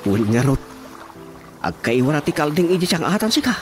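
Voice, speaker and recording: A chatty village councilman with an Ilocano accent in a comic radio drama. His pitch leaps around, and music plays clearly underneath. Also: sing-song, moderate pace. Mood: chatty, genial. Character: chatty village councilman talking shop with watchman. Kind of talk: Filipino radio drama comedy acting.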